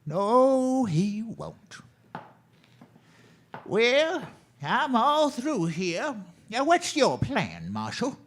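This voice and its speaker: A man playing a dry, crusty American frontier doctor in a radio western, wry and drawn-out. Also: very high-pitched, wildly swooping pitch, a little hiss or hum, exaggerated voice. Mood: resigned. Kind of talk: old-time radio western acting.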